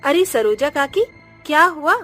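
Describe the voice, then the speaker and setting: A man voicing a clever, quick-witted young bride in a cartoon. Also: very high-pitched, lively intonation, sharp tone, faint music behind, pitched above the performer's own voice, exaggerated voice. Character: clever young long-necked village bride. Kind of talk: cartoon voice acting.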